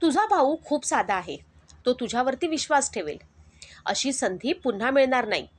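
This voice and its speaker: A woman's voice: high-pitched, sing-song, moderate pace, husky, full character voice. A woman voicing a sly adult male friend who coaxes and gives scheming advice. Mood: coaxing. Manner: coaxing, giving advice.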